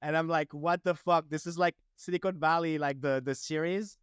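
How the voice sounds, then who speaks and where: A young man from France, still carrying a French accent in his English, talks as a guest with quick, upbeat energy. His voice sits a touch high and comes across smooth and clean, in a dry, close sound. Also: dry recording. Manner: upbeat, energetic, heavy use of "like". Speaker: guest entrepreneur. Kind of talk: casual interview conversation.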